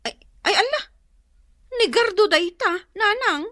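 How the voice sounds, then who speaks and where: A woman's voice: very high-pitched, expressive, measured pace, bright, forceful tone, no echo, clean studio sound, heavily acted. A woman playing a gossipy grown daughter in an Ilocano radio comedy drama. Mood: exasperated, mocking.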